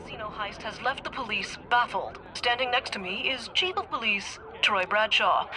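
An actress playing a brisk, pushy TV news reporter in a video game, polished, formal and insistent. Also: female voice, fairly high pitch, expressive, close to an everyday voice. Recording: clearly noisy background.

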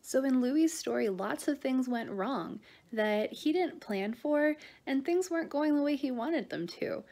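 A woman addresses children as a storytime reader. Her voice is on the high side and she takes her time, recorded at home at arm's length. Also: expressive, a little slow, hardly any pauses, a little mellow. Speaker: storytime reader, prevention services worker. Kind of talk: gentle address to children and picture-book reading. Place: small living room, on a couch. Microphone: phone or camera at arm's length.

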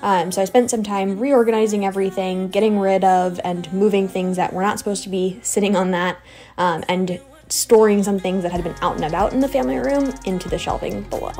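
Young female American vlogger for easygoing voice-over, average pitch, backed by music. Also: fairly even intonation, brisk, darkish tone. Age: young adult. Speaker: cleaning vlogger. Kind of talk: casual voice-over narration. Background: background music.

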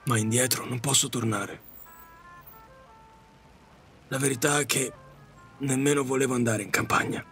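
A man playing a middle-aged widowed taxi driver in an audio drama, confessing his regrets in a halting, repetitive, self-reproaching way. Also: mid-range voice, steady tone, gravelly, fairly bright tone, faint music behind. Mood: sorrowful. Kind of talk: Italian audio drama monologue.

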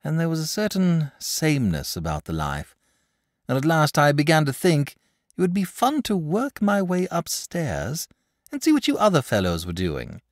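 A man's voice: a little high, huge pitch swings, moderate pace, no echo, studio quality, voice pushed up. A man voicing a genial, bashful male dragon who is a lazy poet, talking in a chatty, polite, eager way.

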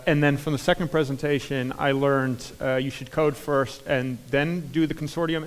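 Keynote speaker, male, 40s, American accent, energetic with many "uh"s, mid pitch, long hall reverb. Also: smooth. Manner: energetic, many fillers and restarts. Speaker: conference speaker. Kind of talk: keynote talk. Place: large conference hall stage. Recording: hall reverb from a large room.

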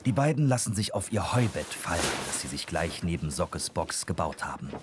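A man's voice: low voice, very animated, fairly quick, noisy background. A man narrating a children's radio play from a script.

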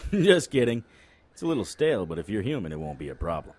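An actor playing a cocky, unflappable supernatural private investigator in an audio drama, full of wry, flippant banter. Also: male voice, fairly low pitch, very animated, a little fast, darkish tone. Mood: teasing.

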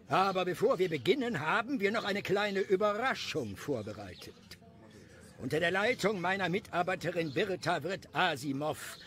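A man's voice: high voice, fairly bright tone, exaggerated voice. A man voicing a fussy, deferential village leader, ceremonious and eager to please. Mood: eager, hesitant.

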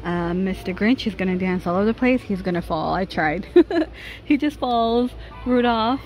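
A woman's voice: medium pitch, animated, moderate pace, a little mellow. A woman vlogger giving a chatty shopping walkthrough, laughing often, filmed on a handheld phone in a large store. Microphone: handheld phone camera. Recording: noisy store background.

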